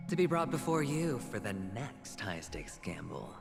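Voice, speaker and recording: A bold young gambler in chains in a game drama. He is theatrical and taunting, stretching phrases slowly with wide swings of pitch. A long hall reverb surrounds the voice. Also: very animated, vocal fry, music in the background, heavily acted. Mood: smug, teasing. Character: cocky, smooth young gambler prisoner bargaining boldly.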